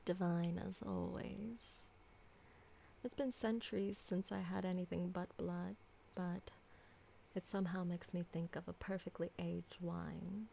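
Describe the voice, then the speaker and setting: Slow, low, doting vampire mistress, acted by a woman. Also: fairly even intonation, vocal fry, a little hiss or hum. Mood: hungry, coaxing. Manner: soft-spoken, genteel, tender, formal wording. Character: ancient, doting, refined female vampire mistress. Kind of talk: intimate ASMR roleplay acting.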